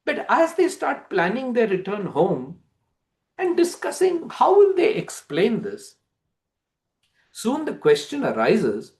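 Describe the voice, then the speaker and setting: High-pitched, animated male storyteller. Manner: theatrical, expressive. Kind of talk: dramatic storytelling, play reading.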